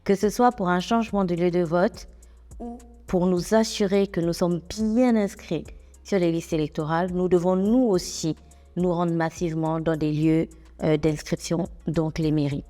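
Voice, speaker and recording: Mellow-voiced woman presenting civic info. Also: fairly low pitch, fairly even intonation, long reverb tail, faint music behind. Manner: clear, measured, explanatory. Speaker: radio host and reporter. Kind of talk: scripted civic information presentation.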